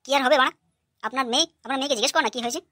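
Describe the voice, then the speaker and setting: A brash, hot-tempered young son-in-law in a cartoon comedy, played by a woman, rants at breakneck speed. The voice is fairly high and very dry, full of grievance. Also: rapid-fire, fairly bright tone, dry recording. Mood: angry, ranting. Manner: brash, ranting.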